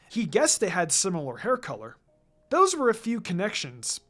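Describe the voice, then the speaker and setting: Fan fiction narrator, mid-pitched, animated intonation, background music. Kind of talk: expressive story narration.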